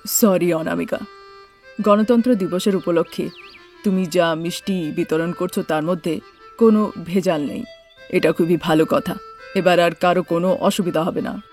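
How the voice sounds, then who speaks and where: Male police officer, cartoon, woman performer: lowered pitch, authoritative, measured, music underneath. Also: fairly even intonation, darkish tone, close to an everyday voice. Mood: pleased, official. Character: stern, official male police sub-inspector. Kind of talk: cartoon story character acting. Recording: music behind the voice.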